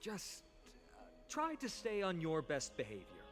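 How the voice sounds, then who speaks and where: A calm, earnest young hero. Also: male voice, a little high, measured pace, faint music behind. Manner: calm, reassuring. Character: earnest young male hero, kind and steady.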